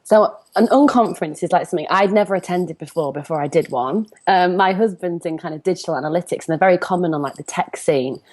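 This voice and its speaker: A woman's voice: on the low side, fast talker, few pauses, a little mellow. A woman in her thirties with a Northern English accent, chatting animatedly as an interview guest and telling personal stories. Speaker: interview guest, comparison coach. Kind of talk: conversational interview, personal storytelling.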